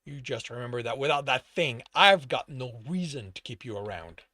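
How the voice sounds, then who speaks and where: A man's voice: a little high, animated, unhurried, sharp tone, exaggerated voice. A man voicing a menacing, short-tempered rat gang boss in a fantasy story, growling out threats. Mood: accusing, threatening. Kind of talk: storybook character dialogue.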